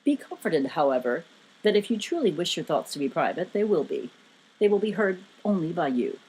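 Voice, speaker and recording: A woman voicing a giant telepathic man from another world, speaking formally with calm, reassuring authority. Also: fairly quick, slightly rough, rough recording. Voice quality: booming.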